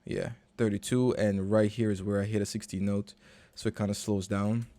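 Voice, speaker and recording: A man, a recording and mixing engineer, casually walking through a session in a relaxed, slangy, conversational way. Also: on the low side, normal speed, over loud music. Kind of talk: casual screen-share walkthrough.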